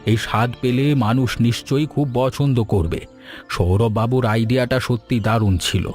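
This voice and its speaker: A man voicing a kindly, encouraging old village grandfather in an animated story, with a reassuring manner. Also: mid-range voice, fairly quick, soft background music, lightly acted. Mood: approving. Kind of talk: Bengali animated story dialogue.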